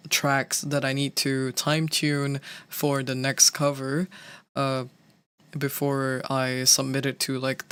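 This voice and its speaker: Unhurried streamer, halting casual chat, mid pitch, soft music behind. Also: no echo. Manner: halting, many restarts and fillers. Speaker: streamer and cover singer. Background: faint background music.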